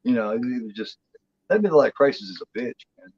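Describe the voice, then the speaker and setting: A man's voice: mid-range voice, big pitch swings, fairly quick, a little mellow, highs cut off, slight background noise. Profane rambling man in his forties. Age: 40s. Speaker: co-host. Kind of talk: casual chat.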